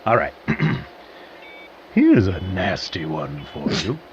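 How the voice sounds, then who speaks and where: A man's voice: deep voice, huge pitch swings, slow, slight background noise, full character voice. Muffled, wildly swooping mischievous man. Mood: gleeful, mischievous. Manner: playful, theatrical, mischievous. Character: gleeful male teller of nasty tales, teasing. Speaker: storyteller in a framing dialogue. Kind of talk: conversational audio drama acting.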